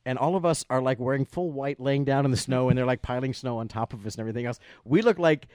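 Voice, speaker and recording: A man telling a casual anecdote about his work as a puppeteer, animated and acting out the voices of people he quotes. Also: mid-range voice, fast talker, no echo. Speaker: guest recounting work as a puppeteer.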